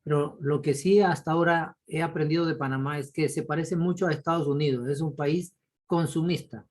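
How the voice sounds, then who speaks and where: A man's voice: on the high side, brisk. A man from Ecuador, a course participant, talking casually and animatedly in an online class, exclaiming as he tells examples. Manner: apologetic, animated storytelling with exclamations. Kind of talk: casual video-call discussion.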